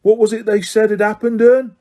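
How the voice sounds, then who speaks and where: A man voicing an excitable young male bus conductor, gossipy and dramatic in a storybook reading. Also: sits high, a little fast, pitched above the performer's own voice, natural voice.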